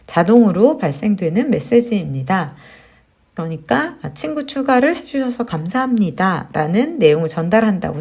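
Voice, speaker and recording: Lively-voiced woman explaining a tutorial. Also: medium pitch, creaky. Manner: friendly, explanatory, with fillers. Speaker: marketing instructor. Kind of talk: screen-share tutorial.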